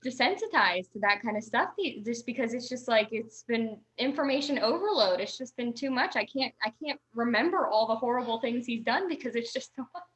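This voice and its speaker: A woman's voice: on the high side, expressive, a little fast, hardly any pauses, fairly bright tone. A woman in her twenties chatting casually as a guest, rambling and restarting her sentences. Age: 20s. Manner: rambling, talkative, many restarts and "like". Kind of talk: casual chat.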